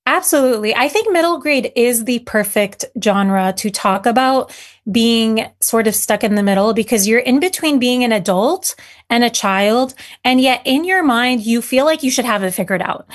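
Youngish woman writer from syria, kinda high voice, chatty, says "you know" a ton. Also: expressive, moderate pace. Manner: earnest, filler-heavy, many "you know"s. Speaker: author guest. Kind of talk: conversational interview answers.

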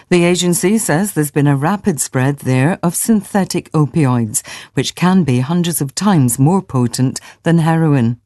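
A woman's voice: deep voice, mellow, no echo, clean studio sound. A woman reading a scripted radio news bulletin. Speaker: news reader.

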